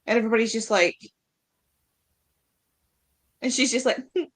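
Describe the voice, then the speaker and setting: A woman's voice: a little high, normal speed, fairly bright tone. Woman in loose, casual book chat. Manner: peppers speech with "like", acts out quotes. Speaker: co-host of a book readalong.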